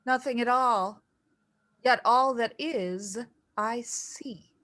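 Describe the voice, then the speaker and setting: A woman's voice: fairly high pitch, a bit bright. An actress playing a dramatic, teasing, fretful ghost of a mother in a theatrical play reading, drawing out her words. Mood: grandly solemn. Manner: declaiming, teasing, drawn-out.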